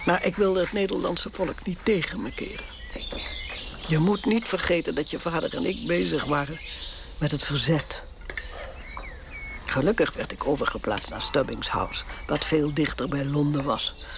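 A woman's voice: deep voice, moderate pace, creaky, background noise. A stubborn old queen-grandmother. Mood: reflective. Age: older. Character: stubborn elderly woman, a grandmother and former monarch. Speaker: elderly former queen telling her grandchild about the war. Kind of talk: radio drama acting. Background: birds chirping.

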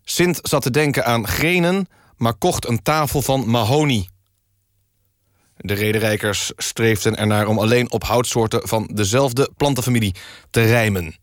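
A man presenting a mock radio magazine in a deadpan, mock-formal way with small hesitations. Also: mid-range voice, normal speed, no echo, studio quality. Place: radio studio.

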